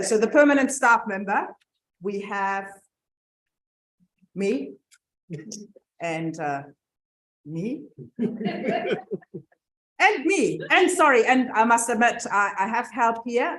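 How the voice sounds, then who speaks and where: A woman's voice: medium pitch, rough recording. Chatty woman presenter in a lecture venue. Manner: chatty, laughing, repeats words while sorting out the screen. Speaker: science centre presenter. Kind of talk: informal talk with tech troubles. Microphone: room mic, sometimes off mic.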